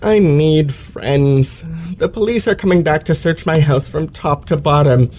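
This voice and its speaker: An actor as a pompous, aggrieved gentleman lecturer. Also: a little high, measured pace, slight background noise, close to an everyday voice. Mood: wounded, self-pitying. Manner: florid, theatrical, precise elocution. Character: pompous, aggrieved male lecturer, florid and self-pitying. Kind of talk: old-fashioned radio play acting.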